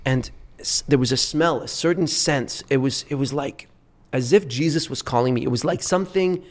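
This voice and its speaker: A man who grew up in Dubai tells stories as a podcast guest in a relaxed, conversational way. He rambles, repeats words, and restarts his sentences as he goes. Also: mid-range voice, normal speed. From Lebanon, raised in Dubai. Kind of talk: casual storytelling chat.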